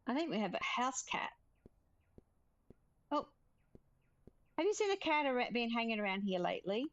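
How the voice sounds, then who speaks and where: A woman reading the part of a gentle, caring young witch in a cozy visual novel. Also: on the high side, sing-song, normal speed, lo-fi sound. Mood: curious. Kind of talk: visual novel character read aloud.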